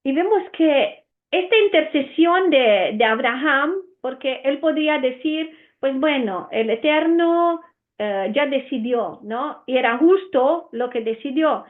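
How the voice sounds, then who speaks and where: Italian-accented woman teaching scripture, high. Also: expressive, unhurried, narrow, tinny audio. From Romania. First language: Romanian. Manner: earnest, with frequent "eh" fillers and restarts. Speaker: Bible teacher. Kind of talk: Bible teaching.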